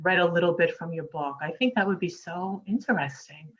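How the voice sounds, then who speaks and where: A woman with a New York accent hosting a casual interview, chatty and encouraging and quick to laugh. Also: fairly low pitch, fairly bright tone. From United States. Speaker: host. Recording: muffled lo-fi audio.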